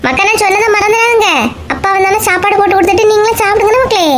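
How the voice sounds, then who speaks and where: Woman acting very high-pitched nagging village mother, Kanyakumari accent. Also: steady tone, rapid-fire, heavy background noise. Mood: brisk. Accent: Kanyakumari Tamil. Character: bustling, chatty village mother scolding her children. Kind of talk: comedy cartoon voice acting in colloquial dialect.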